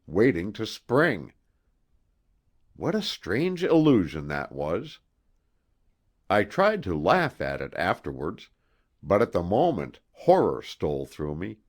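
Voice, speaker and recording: A man reading a first-person horror story as an audiobook narrator, in a measured, theatrical way with deliberate pauses. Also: low voice.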